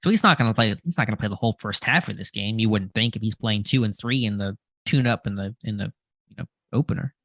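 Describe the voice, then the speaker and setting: A man co-hosting a casual sports podcast, tossing quick questions and agreements back and forth. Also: on the low side, fairly bright tone, dry recording. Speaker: podcast co-host.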